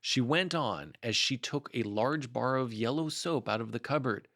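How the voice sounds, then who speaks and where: A man's voice: mid-range voice, lively intonation, normal speed, a bit bright. A man narrating a children's story as a read-aloud storyteller.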